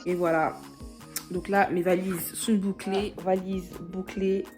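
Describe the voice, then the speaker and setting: A woman's voice: on the low side, little pitch movement, slow pace, over music. Chatty young French woman vlogging. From France. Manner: chatty, giggly, rambling with fillers. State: has a cold. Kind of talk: casual vlog talk.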